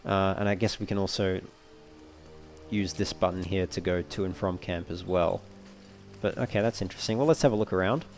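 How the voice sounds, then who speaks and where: Male let's player, low-pitched, talking casually through a game and reasoning aloud as he goes. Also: brisk, a little mellow, soft background music. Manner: thinks aloud while reading menus. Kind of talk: casual gameplay walkthrough commentary.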